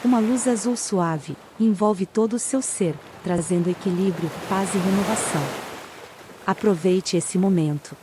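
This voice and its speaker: A Brazilian woman narrating a guided meditation in a calm, soothing way with long pauses. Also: mid-range voice, noisy background. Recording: ocean waves behind the voice. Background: sound of sea waves.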